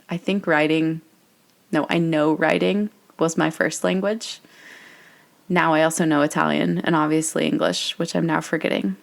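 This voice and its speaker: Middle-aged American woman, podcast host, slightly low voice, talks in a chatty, wandering way. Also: vocal fry. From United States. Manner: chatty, reflective, digressive. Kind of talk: personal podcast monologue.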